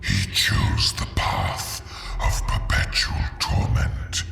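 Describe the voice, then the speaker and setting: An actor playing an ominous ancient chronicler in a video game, narrating epic legend in solemn, portentous phrases with a hoarse, growling whisper. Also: male voice, slow pace. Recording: echoey, under loud game music.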